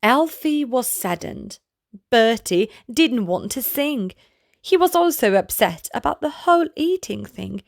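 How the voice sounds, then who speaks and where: A woman reading a children's bedtime story aloud in a gentle, expressive way. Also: a little high, unhurried, creaky.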